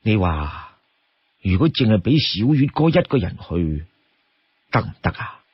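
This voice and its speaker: A man voicing a cautious, deliberate chief of an outlaw band in a storybook tale. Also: lowish voice, very animated, husky, no room sound, highs cut off, voice pushed down, full character voice. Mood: worried, hesitant.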